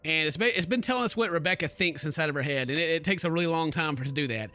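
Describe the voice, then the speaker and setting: A man's voice: on the high side, very fast, sharp tone. A man hosting a gaming video, commenting casually with sarcastic, mocking asides and sometimes reading narration aloud. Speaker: gaming video host, let's player. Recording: game music under the voice.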